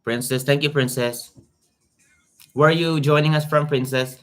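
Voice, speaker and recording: A man's voice: a little high, fairly even intonation, normal speed, soft background music. A young man with a Filipino accent chatting casually on a livestream, greeting viewers by name as he talks. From Philippines. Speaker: YouTube reactor.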